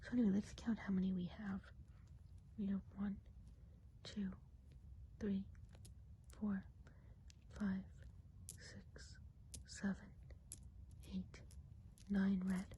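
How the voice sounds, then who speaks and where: A woman doing a hushed, whispered ASMR role play as a friendly woodland witch, explaining things in a gentle, meandering way. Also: medium pitch, level tone, slow pace, pauses often, a little hiss or hum. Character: friendly Christmas witch of the woods. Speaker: ASMR performer.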